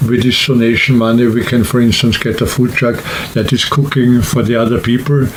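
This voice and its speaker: A man speaks off the cuff in a casual vlog style, with a middling pitch. His explanations wander and halt as he works out what to say. There is faint noise behind his voice. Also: little pitch movement, a little fast, low-quality recording. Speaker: hiking vlogger and trip organizer. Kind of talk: casual unscripted talk to camera.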